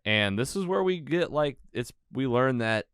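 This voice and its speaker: A man's voice: mid-range voice, expressive, normal speed, a bit bright, clear, resonant tone, dry recording, clean studio sound. A man hosting a casual film recap chat, retelling scenes and acting out bits of dialogue as he goes. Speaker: podcast host leading a movie recap.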